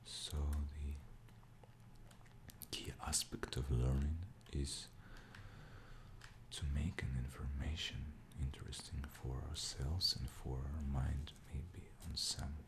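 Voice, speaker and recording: A man with a Russian mother tongue whispers in a deep, gravelly hush. He speaks very slowly, with long pauses and soft fillers between phrases, in an unhurried ASMR style. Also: steady tone. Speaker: ASMR creator. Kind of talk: whispered ASMR show-and-tell.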